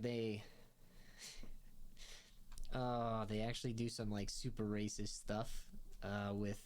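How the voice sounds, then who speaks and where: A man's voice: fairly low pitch, darkish tone. Rambling young man reviewing a show. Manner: rambling, many fillers, laughs often. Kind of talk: casual spoken review.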